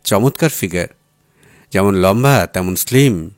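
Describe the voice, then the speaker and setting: A man's voice: deep voice, lively intonation, unhurried, no echo, clean studio sound. A man reading a literary story aloud as a dramatised audiobook narration. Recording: music playing behind the voice. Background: music bed.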